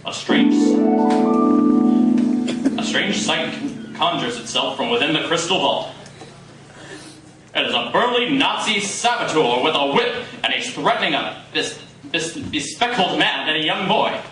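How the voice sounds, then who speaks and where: A man's voice: on the high side, big pitch swings, measured pace, long pauses, pushed and bright. A man narrating a live radio play in a grandiose, theatrical 1940s announcer style. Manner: grandiose, dramatic. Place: live performance in a coffee house. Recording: echoey room from far off, music.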